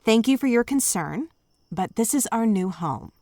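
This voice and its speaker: A woman's voice: on the high side, expressive, moderate pace, dark tone, dry recording, close to an everyday voice. Woman playing a firm, protective mother.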